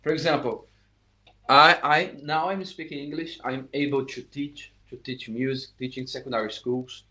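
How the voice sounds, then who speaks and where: A middle-aged man, an English speaker, giving an unscripted live saxophone lesson. He talks haltingly, restarting phrases and saying "you know" often. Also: medium pitch, steady tone, sharp tone. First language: Portuguese. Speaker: saxophone teacher.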